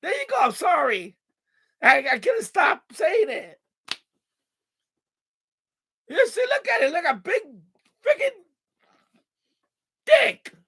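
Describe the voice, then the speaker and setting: A male live-stream host with a noticeably high voice that often breaks into creak. He talks informally and without much filter, rambling as he answers his chat. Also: very animated, unhurried, pauses often, bright, forceful tone. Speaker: livestream host. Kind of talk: casual live-stream chat, reading and answering viewer comments.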